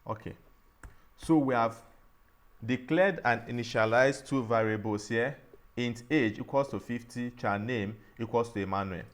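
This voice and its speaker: A man teaching a programming tutorial, explaining each step slowly and often restarting and saying "all right". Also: medium pitch. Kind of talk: screen-recorded coding tutorial.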